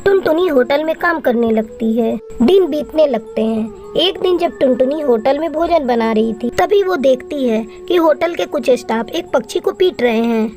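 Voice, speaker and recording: A woman's voice: high voice, lively intonation, moderate pace, hardly any pauses, darkish tone. A woman reading scripted narration for a children's cartoon story. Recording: faint music behind.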